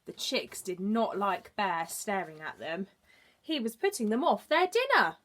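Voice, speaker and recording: A woman reads to small children as an early years teacher. Her delivery is slow and very melodic, swinging up and down. She adds simple explanations along the way. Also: mid-range voice. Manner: expressive, explaining for young children. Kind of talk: children's storybook narration with asides to listeners.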